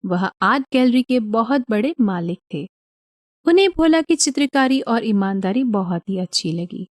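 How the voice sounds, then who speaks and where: A woman narrating a scripted animated story as the storyteller. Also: a little high, normal speed, dry recording, clean studio sound.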